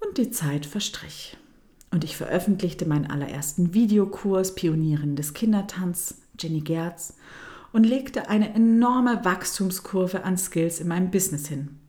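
Soft-spoken German woman podcaster. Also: lowish voice, measured pace. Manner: soft, intimate, often near a whisper. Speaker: podcast host, dance teacher. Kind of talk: storytelling monologue.

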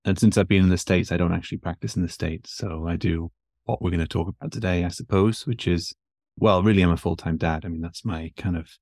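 A very low-voiced man with a Newcastle accent talks as a podcast guest. He is relaxed and self-deprecating, with plenty of fillers and restarts. His voice is recorded dry and close. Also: quick pace, dry recording. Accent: Newcastle English. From north of England. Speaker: guest, physiotherapist and educator. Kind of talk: relaxed conversational interview. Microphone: podcast microphone.

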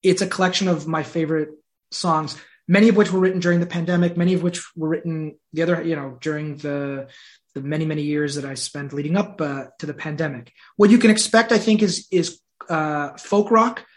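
A man with a voice pitched a little above the usual, speaking in an earnest, thoughtful way. He is a singer-songwriter guest in a relaxed interview. He sounds reflective and pokes a little fun at himself. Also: a little fast. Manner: earnest, reflective, self-deprecating. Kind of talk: casual interview talk.

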